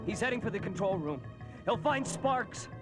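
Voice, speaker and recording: Earnest, high-voiced cartoon adventurer. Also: male voice, lively intonation, normal speed, over loud music. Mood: worried, urgent. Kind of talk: animated sci-fi drama acting. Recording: loud score and engine rumble behind.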